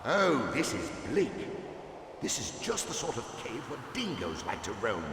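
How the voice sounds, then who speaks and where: A man's voice: medium pitch, big pitch swings, a little slow, creaky. A man playing a chatty, skittish upper-class English adventuring companion in a video game, pompous and theatrical, with old-fashioned exclamations. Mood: uneasy. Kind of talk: video game character acting. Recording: echoey cave reverb, distant, noisy game audio.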